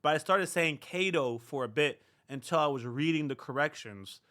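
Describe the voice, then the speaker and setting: A man's voice: on the high side, moderate pace, fairly bright tone. A man hosting a reaction channel, talking casually to viewers in a rambling, self-deprecating way with many fillers. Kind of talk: casual talk to camera.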